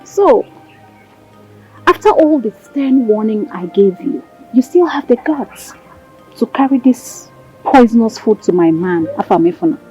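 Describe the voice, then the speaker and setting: A jealous Nigerian village bully taunts in a fairly high yet mellow voice, sarcastic and menacing. It sounds like screen melodrama, with light music behind. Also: female voice, animated, measured pace, husky, poor audio. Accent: Nigerian, with Pidgin. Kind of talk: Nollywood film drama acting.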